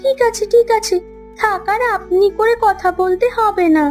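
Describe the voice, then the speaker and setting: A woman playing a kind, outspoken young city woman in a cartoon, caring and brisk. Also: very high-pitched, steady tone, darkish tone, faint music behind. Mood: reassuring. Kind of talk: Bengali cartoon story acting.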